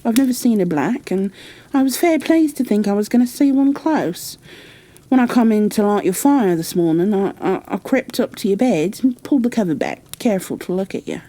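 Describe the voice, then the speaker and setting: Woman playing a young housemaid, Yorkshire accent, blunt, good-natured, chatty, mellow timbre, storybook character. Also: medium pitch, expressive, normal speed, voice pushed up, close to an everyday voice. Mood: vexed, frank. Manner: blunt, chatty, plain-spoken.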